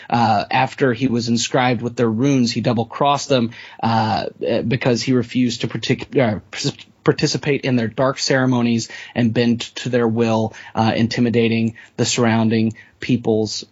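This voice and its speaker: A man talking casually as a player in a tabletop role-playing game, informal and jokey, thinking out loud as he describes a character. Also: mid-range voice, breathy edge, dry recording, muffled recording.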